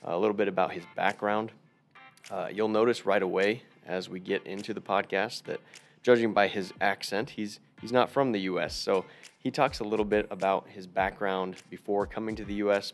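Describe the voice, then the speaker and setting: A man's voice: fairly low pitch, creaky. An American man hosting a podcast in an informal, conversational way. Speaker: podcast host. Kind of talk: conversational podcast intro and interview.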